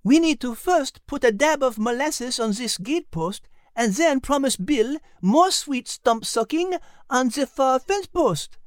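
A man's voice: very high-pitched, expressive, a little slow, no room sound, clean studio sound, voice pushed up. A man voicing a coy, giggly female storybook animal with a dainty, refined manner and a sprinkling of French phrases. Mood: coy, pleased.

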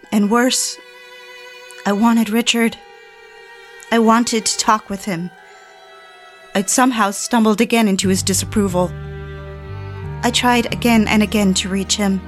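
A woman's voice: mid-range voice, natural voice. A woman playing a lovelorn, self-doubting woman in an audio drama, earnest and confessional in her delivery. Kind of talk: dramatic first-person narration in audio drama. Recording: music under the voice. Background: music.

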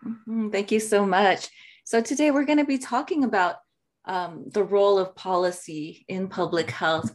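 A woman hosting a podcast interview, friendly and a little stumbling over names. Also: medium pitch. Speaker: podcast host.

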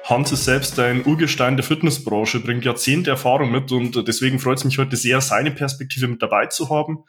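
A man's voice: mid-range voice, brisk, a bit bright, soft background music. Earnest male podcast host. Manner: structured, earnest questioning. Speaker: podcast host and personal trainer. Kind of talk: interview hosting.

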